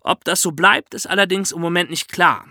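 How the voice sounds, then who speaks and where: A man's voice: sits high, steady tone, a little fast, pushed and bright, no echo, studio quality. A man with a German accent telling true-crime stories conversationally as a podcast host, with a wry, ironic touch.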